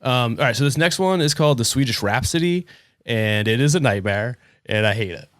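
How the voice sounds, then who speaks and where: A man's voice: medium pitch, lively intonation, a little fast, a bit bright, dry recording. Man explaining casually, very dry sound. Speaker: podcast presenter.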